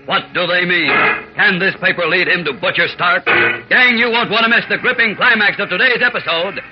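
A man's voice: sits high, normal speed. A man narrating a vintage radio serial as its announcer, dramatic and suspenseful. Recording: muffled old radio recording with loud music.

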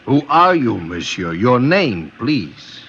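A sardonic, suspicious police officer in an old radio play. He questions insistently in a sharp, widely swinging voice. The recording is muffled and faintly noisy. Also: mid-range voice, very animated, slow, heavily acted. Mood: sardonic, probing. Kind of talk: old-time radio drama acting.

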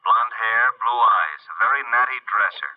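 An actor playing a flippant detective's assistant reporting in over a filtered telephone line in a 1950s radio drama, clipped and dry. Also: male voice, on the low side, lively intonation, moderate pace. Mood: dryly flippant. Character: wisecracking male detective's assistant reporting in by phone. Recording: thin distant phone line, vintage radio.

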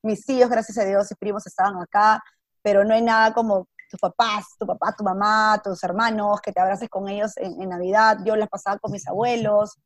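A Peruvian woman talks casually about her own life at a middle pitch. She mixes in English words and voices the people she quotes. The recording is dry, with very little room sound. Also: steady tone, brisk, no room sound. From Peru. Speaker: interview guest. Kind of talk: casual personal storytelling with some English words mixed in.

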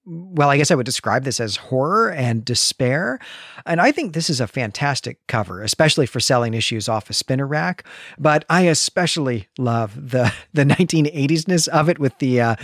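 A man's voice: medium pitch, dry recording, clean studio sound. A man hosting a podcast, talking through his points in a thoughtful, explanatory, conversational way. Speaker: podcast host. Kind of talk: casual comic book discussion.

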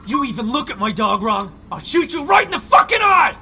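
A man's voice: very high-pitched, big pitch swings, a little fast, shouting, very noisy, heavily acted. An actor playing a defiant, foul-mouthed civilian survivor, a combative man in a zombie audio drama. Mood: furious. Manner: combative, profane.

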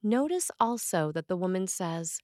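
A woman priest reading a scripted sermon in a measured, earnest way with dramatic emphasis on key phrases. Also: on the low side, big pitch swings, no room sound, clean studio sound.

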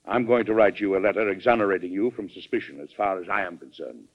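An actor with an American accent playing a calm, resolute president with dry humor in a classic radio drama. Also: male voice, sits low, quick pace. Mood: measured, resolute. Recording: dull old radio recording.

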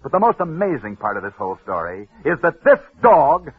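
A man's voice: fairly high pitch, wildly swooping pitch, slightly rough. Dramatic 1940s radio sports host, man. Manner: dramatic, punchy delivery with sudden pauses. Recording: tinny old radio broadcast.

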